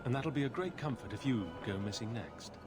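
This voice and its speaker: An actor playing a defiant, disgruntled knight recruit in a video game, blunt and indignant in manner. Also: male voice, medium pitch, lively intonation, a little fast, loud music behind the voice, lightly acted. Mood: bitter. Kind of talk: video game dialogue acting.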